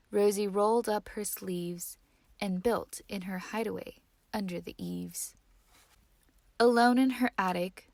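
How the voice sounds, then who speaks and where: A woman reading a children's picture book aloud in an expressive, measured storytelling manner. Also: medium pitch, vocal fry, dry recording. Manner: measured, dramatic storytelling with hushed phrase endings. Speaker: story reader.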